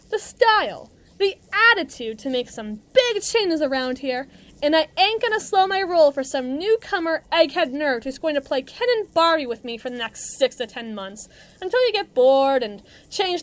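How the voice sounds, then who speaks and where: Woman acting a brash, high-pitched villain henchwoman. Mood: defiant, cocky. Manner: sarcastic, theatrical, mocking, slangy tough talk. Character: brash, mean female villain henchwoman, muscle for evil agency. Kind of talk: acted monologue roleplay addressed to listener.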